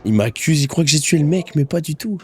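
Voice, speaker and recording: A man from Belgium, a native French speaker with a Belgian French accent, live-streaming a game and chatting excitedly in simple, repetitive English. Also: on the high side, fast talker, soft background music. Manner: excitable, repeats words in simple broken English. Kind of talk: live gaming commentary and voice chat.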